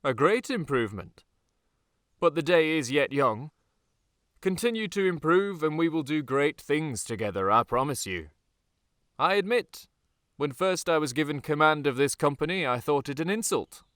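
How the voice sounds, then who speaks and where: A man's voice: on the high side, expressive, moderate pace, fairly bright tone, dry recording, clean studio sound, pitched above the performer's own voice. A man voicing a vain, pompous young military officer addressing his troops in an audiobook. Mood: rousing, haughty. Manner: pompous, commanding, oratorical. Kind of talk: audiobook character dialogue.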